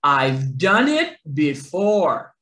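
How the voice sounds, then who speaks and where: A woman acts out a bossy, hungry male wolf from a children's storybook. The threats come loud and drawn out, with big swoops in pitch. The room adds a noticeable echo. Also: big pitch swings, heavily acted. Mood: menacing. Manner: loud, drawn-out, menacing delivery. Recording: roomy echo.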